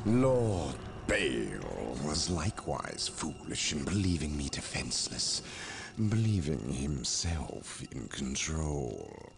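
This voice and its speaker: A scheming sorcerer whispers and hisses his words in a very deep, gravelly voice that swings wildly up and down. He drags out every line slowly, soaked in menace. Loud ambient game sound rumbles under him. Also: very animated, full character voice. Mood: gloating. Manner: slow, drawn-out, silky menace. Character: scheming male sorcerer, sinister and manipulative. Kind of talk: video game cutscene acting.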